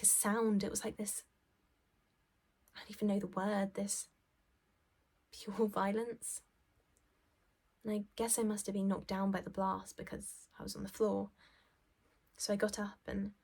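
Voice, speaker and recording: A woman performing a stage monologue as a self-absorbed, well-off young office worker, shaken, halting and sweary as she recounts events. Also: mid-range voice, a little mellow. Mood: agitated, overwhelmed. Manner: halting, sweary, breathy drops into near-whisper. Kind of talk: dramatic stage monologue, conversational first-person recounting.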